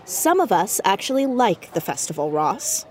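A woman's voice: medium pitch, very animated, moderate pace, darkish tone, a little hiss or hum, close to an everyday voice. A woman playing an earnest, nosy fourteen-year-old girl in an audio drama, youthful and argumentative in a naturalistic way. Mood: defensive. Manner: emphatic, argumentative.

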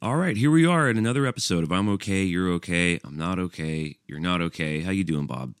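A man's voice: deep voice, expressive, a little fast, over loud music. A male podcast co-host and musician from Alabama, teasing his partner with dry, wry humor. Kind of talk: casual podcast banter.

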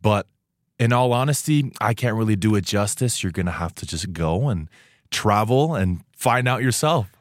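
Low-voiced young man from Taiwan. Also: moderate pace, no echo, studio quality. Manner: relaxed, chatty, many fillers and restarts. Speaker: student guest. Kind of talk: casual interview chat. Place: radio studio.

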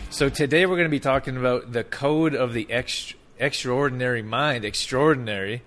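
A man's voice: medium pitch, normal speed, fairly bright tone, faint music behind. A male podcast host with a mid-range voice, enthusiastic about his guest. He talks casually, often restarting his sentences. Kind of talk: casual interview.

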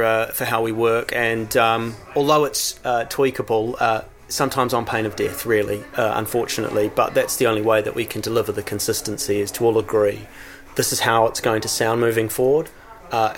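A man who produces radio promos, talking casually in an interview with frequent fillers and restarts. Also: on the low side. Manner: relaxed, hesitant, with many fillers and restarts.

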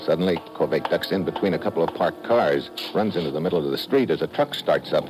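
A tough American special agent with a very low voice. He is clipped and wry, narrating and talking in hard-boiled 1950s radio adventure style. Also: animated, fast, music in the background. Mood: brisk narrating. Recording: old mid-century radio recording.

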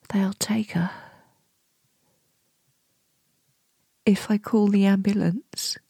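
A woman playing a weary, grieving middle-aged niece in an intimate radio drama monologue, breathy, hushed and deliberate. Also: medium pitch, no echo, exaggerated voice. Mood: anguished, helpless. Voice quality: breathy, whispery.

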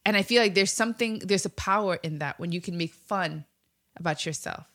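A woman hosting an interview asks follow-up questions in an easy, encouraging way. Her voice has a bright, forward tone. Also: on the low side, fairly quick. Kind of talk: casual interview chat.

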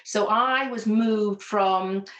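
A woman with a soft Welsh accent answers interview questions as a coach, earnest and reflective, often restarting her sentences. Her voice sits in a middling register. The room around her gives it a noticeable echo. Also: mid-range voice, drawn-out words. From Wales. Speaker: business coach. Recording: echoey room.

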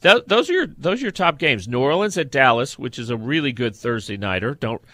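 A man's voice: medium pitch, expressive, a little fast, bright, forceful tone, no echo. A man co-hosting a sports podcast, chatting casually and giving emphatic opinions on football games.

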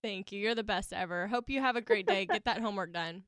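A woman's voice: medium pitch, fast, a bit bright, no room sound. A woman hosting a podcast call-in segment, chatting bubbly and laughing often into a studio microphone. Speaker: podcast host. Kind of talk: casual call-in chat.

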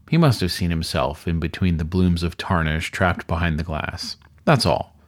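A man reading a spooky story as narrator, in scripted, dramatic storytelling style. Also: sits low.

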